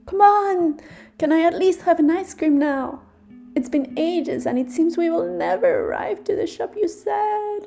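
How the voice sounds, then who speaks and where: A woman voicing an excited young boy who begs and complains, high and eager. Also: unhurried, gravelly, soft background music, heavily acted. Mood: pleading. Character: excited young boy pleading for ice cream.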